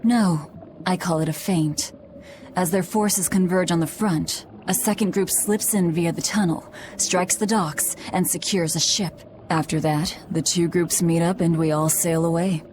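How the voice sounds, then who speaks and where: A woman's voice: on the low side, fairly even intonation, measured pace, darkish tone, a little hiss or hum, natural voice. An actress playing a hardened young woman bent on revenge in a fantasy game, with a terse, commanding way of talking. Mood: cool, commanding. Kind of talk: dubbed fantasy game acting.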